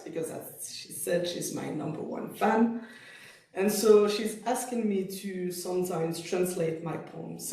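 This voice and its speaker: A man's voice: sits high, darkish tone, far from the mic. A man from Switzerland whose first language is French, a poet speaking on a panel in a lecture hall, thoughtful and self-correcting with playful asides. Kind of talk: panel discussion. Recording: echoey hall from off-mic.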